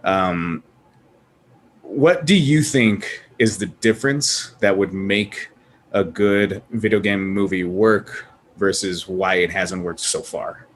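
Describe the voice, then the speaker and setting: A man moderating a casual panel chat, animated and laughing, rambling with restarts. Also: low-pitched, slow, slight background noise.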